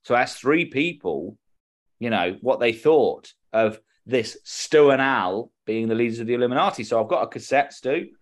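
A man's voice: mid-range voice, expressive, normal speed. A British man co-hosting a podcast in a casual, jokey, chatty way. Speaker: podcast co-host. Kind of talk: casual comedic chat.

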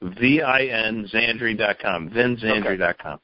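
A man's voice: on the low side, unhurried, dry recording. A man guest in a casual chat, talking loosely with lots of fillers and the odd curse. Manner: chatty, hesitant fillers, swears casually. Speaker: author guest. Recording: dry, dull lo-fi sound.

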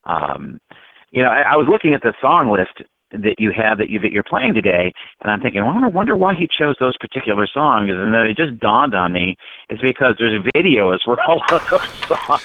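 A man chatting casually as a musician guest on a show, rambling with trailing pauses. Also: fairly low pitch, very animated, no echo, narrow, tinny audio. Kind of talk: casual interview chat.

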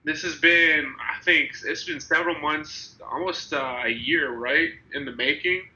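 A man's voice: fairly high pitch, expressive, measured pace, vocal fry, sharp tone, lo-fi sound. A young man in his twenties with a slightly congested, nasal voice from a cold, hosting a casual podcast chat and rambling with many restarts. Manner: rambling, restarts, laughs easily. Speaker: podcast host.

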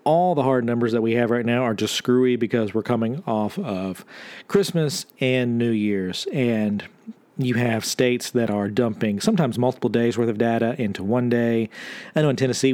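A man with a mid-pitched voice talks as a podcast host, explaining the news on his own. His delivery is conversational and informal, laying things out step by step. The sound is dry, with no room around the voice. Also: a little mellow, no echo. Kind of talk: solo news commentary, explaining figures.

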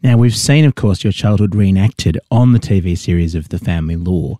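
A man's voice: deep voice, a little fast, dark tone, no echo, clean studio sound. A man co-hosting a comedy podcast, asking teasing, mock-earnest questions. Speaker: podcast co-host. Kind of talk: comic interview questioning.

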